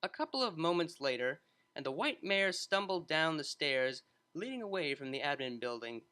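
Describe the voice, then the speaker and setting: Bright lively narrator reading a story, lots of up and down in the voice. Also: mid-range voice.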